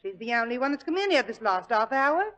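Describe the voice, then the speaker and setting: An actress playing a stubborn, gruff washroom matron in a 1940s radio drama. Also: female voice, a little high, big pitch swings, brisk, fairly bright tone. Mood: stern. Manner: gruff, scolding. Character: stubborn cockney washroom matron, middle-aged woman.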